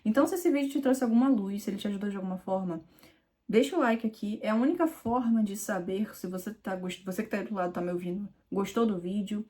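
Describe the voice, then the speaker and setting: A woman's voice: medium pitch, fast, warm tone. A young adult woman from Rio de Janeiro with a Carioca accent, coaching viewers in a direct, conversational teaching video, emphatic and repetitive. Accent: Carioca Brazilian Portuguese. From Rio de Janeiro, Brazil. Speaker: IELTS speaking mentor.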